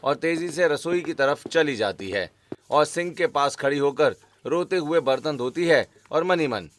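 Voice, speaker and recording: A man narrating a cartoon family story in a steady, explanatory way. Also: on the high side, unhurried, slight background noise.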